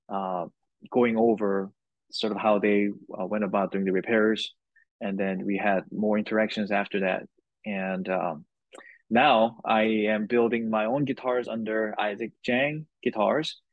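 Unhurried man with a low, dry voice. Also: fairly even intonation, no echo, dull audio. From Korea. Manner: unhurried, speaks in short phrases with pauses. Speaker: guitar maker, guest. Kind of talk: casual interview answers.

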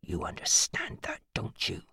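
A woman voicing a brutal, controlling man in an audiobook, menacing, moving between hard barks and hoarse, harsh murmurs. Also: unhurried, sharp tone, no echo, in a whisper. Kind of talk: audiobook character acting.

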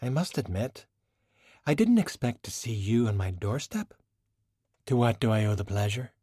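A male audiobook reader performs a refined, silky woman of great poise. The words come measured and formal, with wry, proud self-pity. The recording is dry, with no room sound. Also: mid-range voice, animated, warm tone, husky, no echo. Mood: composed, wry. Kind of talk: audiobook character dialogue.

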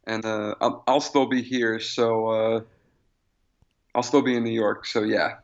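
A man's voice: on the low side, flat delivery, a little slow. A man co-hosting a podcast in casual conversation, on a slightly dull recording. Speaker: podcast co-host. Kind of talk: casual chat.

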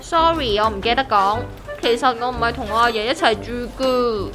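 A woman's voice: fairly high pitch, animated, brisk, over music, lightly acted. A woman with a Hong Kong Cantonese accent playing a gloomy, aggrieved young worker who whines and complains in a comedic audio drama. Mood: resigned griping. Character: gloomy, aggrieved young woman worker griping about her strict grandpa.

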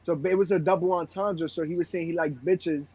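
A man's voice: on the high side, fairly even intonation, fairly quick, darkish tone, husky, no room sound. A young man with a Brooklyn accent chatting casually in slangy, profane, emphatic talk. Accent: Brooklyn, New York. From Brooklyn, New York. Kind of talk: casual chat. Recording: slightly dull, lo-fi voice audio.

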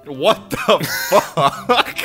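A man co-hosting a podcast in casual comic banter, playful, giggly and quick to exclaim. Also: fairly high pitch, lively intonation, sharp tone, soft background music. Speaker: podcast co-host.

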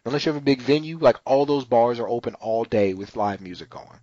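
A man's voice: medium pitch, lively intonation, brisk, warm tone, no echo. A man hosting a podcast, chatting casually in an informal, slangy way. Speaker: podcast host. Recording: dull, lo-fi podcast audio.

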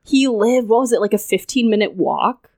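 A woman's voice: fairly high pitch, normal speed, a little mellow. A woman guest on a casual podcast, telling a personal story in a loose, chatty way, with lots of "like" and frequent laughter. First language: English. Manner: chatty, full of "like", laughs often.

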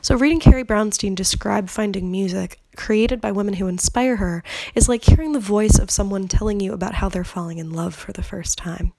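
A woman's voice: mid-range voice, a little fast, vocal fry, hardly any pauses, mellow, no room sound. A woman in her thirties hosting a solo podcast in a candid, conversational way, swearing freely and sounding a little congested from a cold. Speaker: podcast host. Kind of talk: casual solo podcast monologue.

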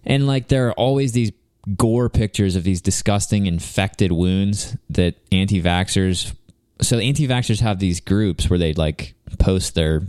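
Casual co-host, man, very low smooth voice, dry close sound, laid-back and joking. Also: measured pace, few pauses, a little mellow, dry recording. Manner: laid-back, joking, rambling with many fillers. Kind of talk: casual chat.

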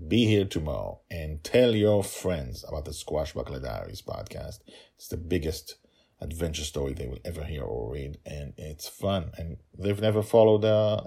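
A middle-aged man with a very deep, raspy voice hosts an informal story podcast. His intonation swings widely as he narrates and chats to listeners. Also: warm tone. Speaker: author and podcast host.

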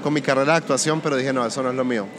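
A man from Colombia with a Colombian-Venezuelan border accent, a music producer talking through his work in a relaxed interview, pausing and restarting his sentences. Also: mid-range voice, noisy background. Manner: thoughtful, restarts often. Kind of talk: casual interview conversation.

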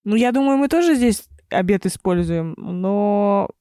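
A woman's voice: mid-range voice, lively intonation, a little slow, mellow, clean tone, no echo. Reflective woman host, dry close sound. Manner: reflective, thinks aloud with fillers. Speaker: podcast host. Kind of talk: casual interview chat.

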